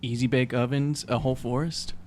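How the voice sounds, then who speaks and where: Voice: mid-range voice, little pitch movement, fairly quick, a little mellow, slight background noise. A tabletop game player making casual, flippant banter.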